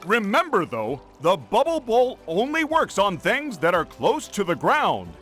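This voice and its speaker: High-pitched cartoon game guide. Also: male voice, huge pitch swings, a little slow, a bit bright, faint music behind, exaggerated voice. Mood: instructive. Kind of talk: game tutorial.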